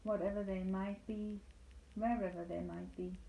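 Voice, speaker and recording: A woman leading a guided meditation as a yoga nidra instructor, speaking calmly and soothingly with deliberate pauses. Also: medium pitch, gravelly. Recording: roomy echo, light background noise.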